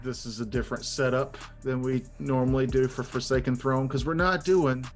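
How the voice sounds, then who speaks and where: A man's voice: mid-range voice, steady tone, moderate pace, soft background music. A man hosting a tabletop game stream, teasing a friend in casual banter. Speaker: game master.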